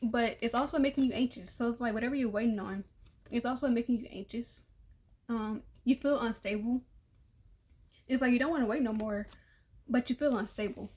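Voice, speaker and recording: Young woman, tarot reader, fairly high pitch, voice going hoarse, casual, rambling, repeats herself, slips into quiet murmurs. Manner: chatty, repetitive, drifts into soft murmurs. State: losing her voice. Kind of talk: casual tarot reading for all zodiac signs.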